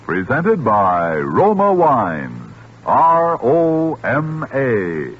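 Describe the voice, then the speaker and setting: A man's voice: medium pitch, huge pitch swings, extremely slow, very noisy. An American man reading a scripted 1940s radio advertisement as the sponsor's announcer, with polished, formal salesmanship. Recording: husky-sounding muffled 1940s radio recording.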